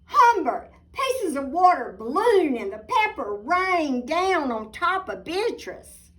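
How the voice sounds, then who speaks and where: A woman reads a picture book to children in a lively, swooping voice. She takes it very slowly, pausing for drama and adding little asides to her listeners. She sounds set back from the microphone. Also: high-pitched. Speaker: storybook reader. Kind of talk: animated read-aloud of a children's picture book. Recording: voice distant from the mic.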